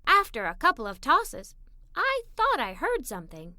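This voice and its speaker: A high, bright female voice tells a children's story with animated, swooping intonation. She is expressive and dramatic, taking her time over the phrases. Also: normal speed, no echo, clean studio sound. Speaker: storybook narrator. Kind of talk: animated read-aloud for young children.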